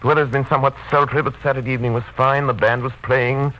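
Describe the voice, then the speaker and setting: An actor playing a sober, formal doctor who narrates gravely in old radio drama style. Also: male voice, medium pitch, level tone, racing, pushed and bright, natural voice. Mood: ominous narration. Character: sober male doctor formally presenting evidence. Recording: muffled vintage radio recording.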